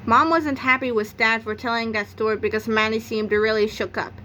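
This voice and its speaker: Female narrator for a children's comic novel read-aloud, voice a little high, recorded with some background noise. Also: fairly even intonation, a little fast, sharp tone. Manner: acts out sound effects and exclamations. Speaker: book narrator.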